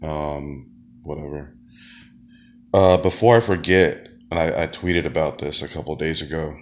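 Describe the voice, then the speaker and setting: A man talks in a loose, rambling monologue, full of fillers and false starts. His voice sits very low because he is sick. Now and then he coughs between phrases. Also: normal speed, a little mellow. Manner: rambling, many fillers and restarts. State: sick, deep voice. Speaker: wrestler and comedian. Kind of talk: casual monologue.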